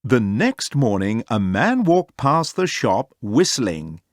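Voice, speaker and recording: A man reading a children's story aloud as narrator, expressive and clearly phrased. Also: mid-range voice, slow pace, no room sound, studio quality. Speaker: storybook reader.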